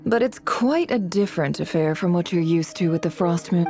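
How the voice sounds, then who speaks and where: A woman voicing a composed, shrewd businesswoman in a video game, measured, cool and formal. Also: fairly low pitch, expressive, warm tone, faint music behind. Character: composed, shrewd businesswoman boss, wry and grave.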